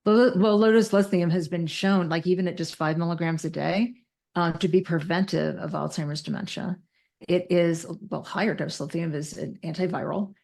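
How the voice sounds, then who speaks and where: A woman's voice: lowish voice, steady tone, moderate pace, gravelly, darkish tone. A woman speaking as an expert interview guest, conversational but precise and technical, often correcting and qualifying what she says. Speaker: interview guest, mental health clinician.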